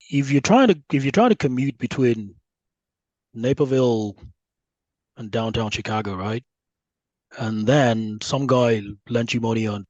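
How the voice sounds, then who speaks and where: A man's voice: mid-range voice, darkish tone, dry recording, poor audio. A man with a Nigerian accent explaining his views as an interview guest, conversational, often checking in with the listener. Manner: conversational, often checks with "right?" and "you know". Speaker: guest researcher. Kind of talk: podcast interview, explaining with analogies.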